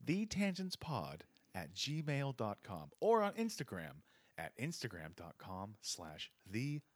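A man's voice: medium pitch, slow pace, dry recording, clean studio sound. A man hosting a podcast, chatting casually and joking with plenty of swearing. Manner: animated, profane, joking. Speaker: podcast host.

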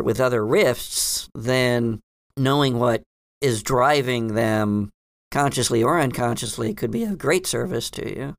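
A man voicing a scholarly, hesitant female librarian in a tabletop game, speaking in halting, formal, professorial phrases. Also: medium pitch, gravelly, dry recording, clean studio sound. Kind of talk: tabletop roleplay in character.

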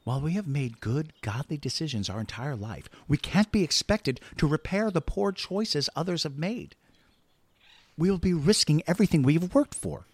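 A defensive, self-righteous husband in an audio drama. His fairly high voice jumps around in pitch as he hedges and tries to appease. The sound is close and dry. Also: lively intonation, normal speed, husky, dry recording. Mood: desperate, self-justifying. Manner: defensive, hedging. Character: flustered, self-righteous husband dodging responsibility, eager to appease. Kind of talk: audio play acting.

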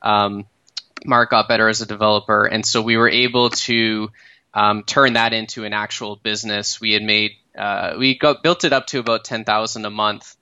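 A man in his thirties with a Canadian accent, a startup founder telling his story in a relaxed podcast interview, with many fillers and restarts. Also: fairly low pitch, moderate pace, clear, resonant tone, no room sound, dull audio.

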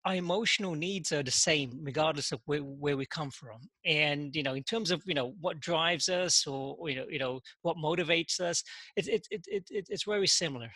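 A man, a podcast guest telling his story in casual interview talk, halting and full of "you know" and repeated words. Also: on the high side, bright, forceful tone, dry recording. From England.

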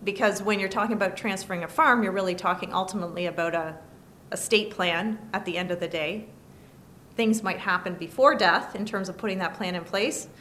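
A woman's voice: medium pitch, a little fast, bright, forceful tone, slight background noise. A woman, a lawyer guest in an interview, explaining patiently and listing points one by one. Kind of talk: interview answers. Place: studio table.

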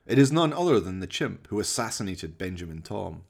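A man's voice: low-pitched, very animated, creaky, a little mellow. Man narrating a horror radio play. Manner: theatrical, deliberate storytelling. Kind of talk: scripted dramatic horror narration.

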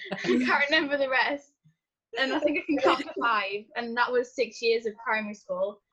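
A woman's voice: a little high, moderate pace, pushed and bright, slightly rough. Chatty teenage girl, dull lo-fi sound. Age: teens. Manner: chatty, filler-heavy, candid. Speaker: young guest. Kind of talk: casual chat.

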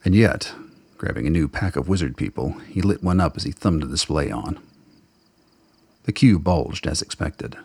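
A man narrates a radio drama in a very low voice with plenty of gravel in it. The delivery is brooding and literary, and the sound is close and dry, though the words come out somewhat husky. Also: expressive, normal speed, a little mellow, dry recording. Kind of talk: dramatic scripted narration of fiction.

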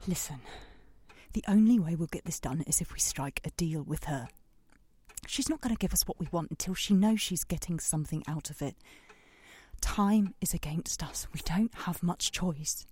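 A woman's voice: fairly low pitch, lively intonation, moderate pace, mellow, breathy edge, no echo. An actress playing a desperate, wry mother at the end of her tether in an audio drama, conspiratorial and dryly sarcastic.

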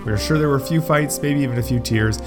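Brisk male podcast host over loud guitar music. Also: medium pitch. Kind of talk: scripted podcast outro.

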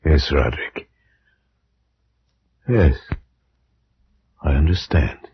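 An actor playing a grave, dread-filled gentleman who narrates and acts as a loyal friend in vintage radio horror, solemn, measured and theatrical. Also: extremely low pitch, lively intonation, a little hiss or hum, full character voice. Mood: grave, reluctant. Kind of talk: 1940s-50s radio horror narration and acting. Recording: dull vintage radio recording, loud music.

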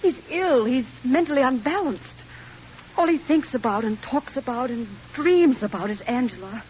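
An actress playing an emotional, indignant, pleading society wife in a radio drama. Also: female voice, high voice, expressive, moderate pace, a little mellow. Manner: melodramatic, emotional. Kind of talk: old radio drama acting. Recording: muffled old radio recording, faint noise.

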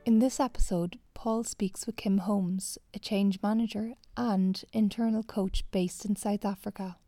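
Warm, mellow woman narrator. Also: medium pitch, dry recording, clean studio sound. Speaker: episode narrator. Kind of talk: scripted episode summary.

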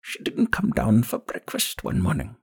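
Man acting a vengeful old woman, raised pitch, husky gravel, scornful, menacing, deliberate, audiobook character. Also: wildly swooping pitch, full character voice. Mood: bitter grief. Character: vengeful, sinister old woman, bitter and grieving.

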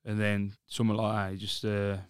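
A man's voice: lowish voice, monotone, moderate pace, smooth, no room sound, clean studio sound. A man chatting candidly as a podcast guest. He talks about his singing in a rambling way, with lots of restarts. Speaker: podcast guest, lead singer of a band. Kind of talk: casual chat.

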